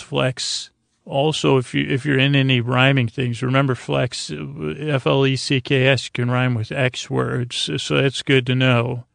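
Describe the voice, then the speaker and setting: A man with a creaky voice hosting a sleep podcast, talking in a meandering, halting, gently goofy and lighthearted way. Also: mid-range voice, no echo, studio quality. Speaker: podcast host. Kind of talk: rambling, informal sleep podcast monologue.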